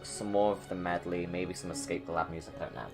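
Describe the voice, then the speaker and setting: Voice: sits low, fairly even intonation, fast talker. A video creator giving casual, rambling commentary on a film in an enthusiastic, gushing fan's manner. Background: music.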